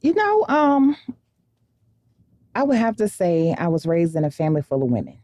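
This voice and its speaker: A woman from Connecticut speaks as a podcast guest, relaxed and agreeable. Her voice is very low and mellow. The recording is dry and close. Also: dry recording. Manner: easygoing, agreeable, speaks with pauses and fillers. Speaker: guest, mushroom farm owner. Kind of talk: casual interview answers.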